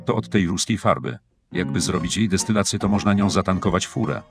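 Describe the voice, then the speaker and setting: A man voicing a calm, fatalistic, scheming handyman in a comic cartoon, speaking in a matter-of-fact deadpan. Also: low-pitched, fairly quick. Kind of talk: dubbed comic cartoon dialogue in Polish. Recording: music playing behind the voice. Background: music.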